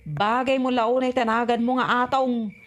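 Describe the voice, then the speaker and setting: Woman playing a high-voiced, nagging Ilocano wife. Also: steady tone, moderate pace, a little hiss or hum, close to an everyday voice. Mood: scolding. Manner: scolding, insistent. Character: nagging, earnest wife and mother. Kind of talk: comedy radio drama acting.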